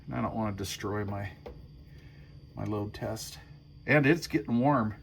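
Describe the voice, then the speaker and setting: A man giving a casual hands-on demo as a hobbyist host, folksy and thinking out loud. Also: lowish voice, measured pace, creaky, a little hiss or hum. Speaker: hobbyist host demonstrating a homemade power supply. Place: workshop bench.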